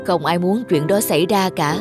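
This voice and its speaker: A woman's voice: lowish voice, normal speed, music in the background, voice pushed down, lightly acted. A woman voicing a serious male doctor in a cartoon, frank and cautious as he speaks. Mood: grave, concerned.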